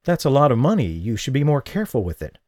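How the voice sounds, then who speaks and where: A man's voice: medium pitch, big pitch swings, quick pace, darkish tone, no echo, studio quality, voice pushed up, lightly acted. A man voicing a worried, guilt-ridden man who talks earnestly, in an audiobook. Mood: tense, pleading. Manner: earnest, pleading.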